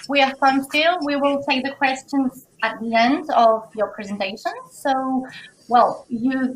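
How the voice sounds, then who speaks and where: A woman moderating an online round table in a hesitant, halting manner. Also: a little high, background noise.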